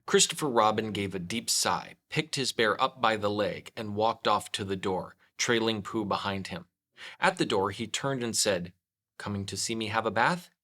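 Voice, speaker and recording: A man's voice: lowish voice, moderate pace, sharp tone. A man reading a children's story aloud as a scripted narrator.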